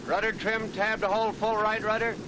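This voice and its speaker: Male actor for a 1940s officer giving crisp commands, high and flat, heard from afar. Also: normal speed, lightly acted. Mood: commanding. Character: army air officer barking control commands. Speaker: officer calling out control commands. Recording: echoey, distant, noisy 1940s film sound.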